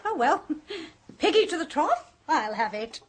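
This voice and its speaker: A hammy mocking older sister. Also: female voice, high-pitched, big pitch swings, unhurried. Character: flamboyant hammy middle-aged actress sister. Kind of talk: 1970s radio drama acting.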